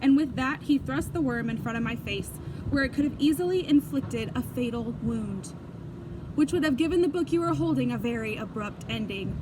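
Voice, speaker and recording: High-voiced woman reading a children's book aloud. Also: normal speed. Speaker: storybook reader. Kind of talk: expressive children's read-aloud narration. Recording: clear background noise.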